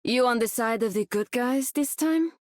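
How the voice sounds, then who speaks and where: A woman's voice: a little high, moderate pace, dry recording, clean studio sound, natural voice. A woman voicing a cocky, teasing young heroine in a video game, with a sardonic delivery. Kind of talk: video game character voice lines.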